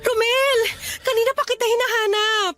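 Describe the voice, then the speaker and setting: An actress playing an earnest, stubborn young adult Filipino daughter in a radio drama, with a pleading manner. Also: female voice, very high-pitched, fairly even intonation, normal speed, over music. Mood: worried.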